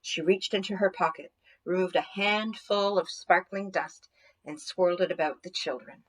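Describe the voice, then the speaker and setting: A woman storyteller reading scripted narration for children in an expressive, deliberate manner. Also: on the low side, rough recording.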